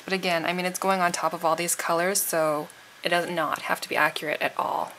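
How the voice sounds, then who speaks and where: A woman's voice: lowish voice, steady tone, brisk, a bit bright, slight background noise. A woman giving a casual, chatty tutorial voice-over, sounding a little tired and froggy. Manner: informal, chatty. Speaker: nail art tutorial host.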